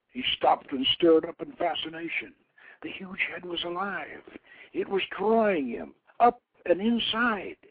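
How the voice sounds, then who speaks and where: A man's voice: medium pitch, no echo. Dramatic male storyteller on a phone-like line. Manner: theatrical, solemn, dropping to hushed near-whispers and swelling for emphasis. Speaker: host reading his own novelette. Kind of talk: dramatic narrated storytelling, reading aloud. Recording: phone-like internet radio sound.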